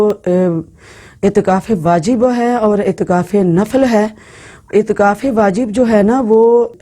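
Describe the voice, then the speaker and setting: A woman giving informal Islamic religious instruction to women, speaking in an instructive way with halting phrasing and repeated words. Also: on the low side, dark tone. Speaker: religious teacher.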